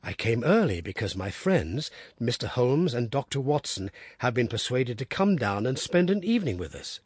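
A man playing a grieving middle-aged father who speaks low and subdued. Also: very animated, moderate pace, slightly rough, no room sound, studio quality. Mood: gentle.

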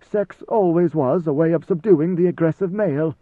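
Man voicing an ancient god-ruler, high and measured. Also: close to an everyday voice. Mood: wry, imperious. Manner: measured, deliberate, formal. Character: ancient godlike male ruler, lordly, calm, knowing. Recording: slightly roomy, phone-like narrow sound.

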